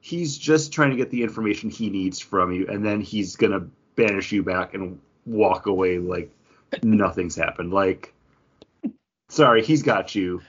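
A man's voice: sits low, unhurried, slightly rough, muffled recording. A man co-hosting a podcast, talking in casual conversation as he shares his opinions. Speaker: podcast co-host reviewing comics. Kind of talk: casual chat.

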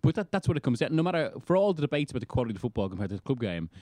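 Fast-talking Irish man on a podcast. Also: medium pitch, dry recording, studio quality. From Ireland. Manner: quick, animated, laughs often, many restarts. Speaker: football writer, podcast panellist. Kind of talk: casual chat.

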